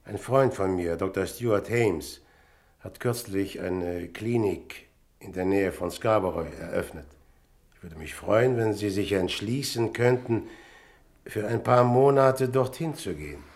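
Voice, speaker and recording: An actor playing a composed police investigator who questions tersely and considerately in in a radio crime drama. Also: male voice, fairly low pitch, a little slow, darkish tone. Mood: considerate, measured.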